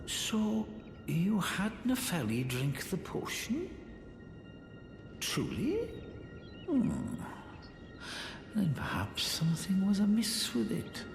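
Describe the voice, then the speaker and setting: Haughty sorcerer, fantasy game villainy, condescending drawl, theatrical, lively melodic pitch, echoing room, background music. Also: male voice, slow, pauses often, heavily acted. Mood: feigned surprise. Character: haughty, condescending male sorcerer, sly and scornful puppet-maker. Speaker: preceptor of sorcery. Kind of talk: theatrical video game acting. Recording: echoey reverberant hall with game music.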